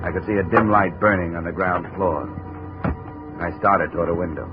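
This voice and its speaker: Actor as a deep-voiced hard-boiled radio detective. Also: male voice, steady tone, moderate pace, over music, lightly acted. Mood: terse narration. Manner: terse, dry, hard-boiled. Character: tough, wry male insurance investigator. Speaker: lead actor and narrator of a radio detective series. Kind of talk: 1950s radio detective drama acting. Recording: muffled vintage 1950 radio recording.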